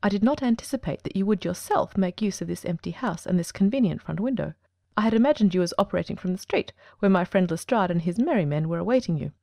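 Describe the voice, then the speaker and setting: A woman's voice: on the low side, lively intonation, quick pace, dark tone, no room sound, clean studio sound. A woman voicing a coolly self-assured male detective genius, precise and formal, in a dramatic audiobook. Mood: assured, dry. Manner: crisp, formal, commanding.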